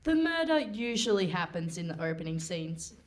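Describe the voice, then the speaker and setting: A woman's voice: on the low side, big pitch swings, moderate pace. A woman acting as an arch, matter-of-fact narrator in a comic radio play performed live. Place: hall stage before a live audience. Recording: faintly noisy live stage recording.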